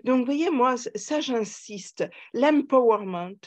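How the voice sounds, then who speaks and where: A woman's voice: on the high side, animated. Older female guest. Thoughtful, emphatic psychoanalyst in conversation, feeling out each phrase. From Paris, France. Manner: emphatic, reflective, halting as she searches for words. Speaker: psychoanalyst and author, podcast guest. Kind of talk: conversational interview answers.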